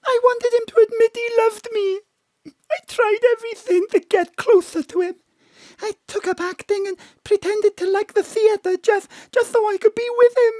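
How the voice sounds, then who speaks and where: A woman's voice: extremely high pitch, steady tone, moderate pace, dark tone, no echo, poor audio. An actress voicing an emotional young woman who longs for her father's love, speaking through tears in a pleading, confessional way. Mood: tearful. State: tearful. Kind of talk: audio drama acting.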